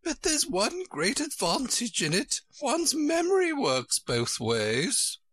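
Guy doing a squeaky scatterbrained old queen for a storybook, super high, swoops all over the place. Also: wildly swooping pitch, slow pace, a bit bright, breathy edge, dry recording, heavily acted. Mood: gleeful, insistent. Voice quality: shrill, squeaky. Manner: emphatic, swooping, exclaiming. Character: flighty, scatterbrained older queen, shrill and imperious.

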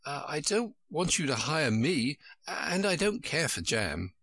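A man's voice: a little high, big pitch swings, unhurried, husky, no echo, clean studio sound, voice pushed up, full character voice. A man voicing a timid, civil and sensible young girl in a storybook. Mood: politely firm. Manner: civil, gently practical.

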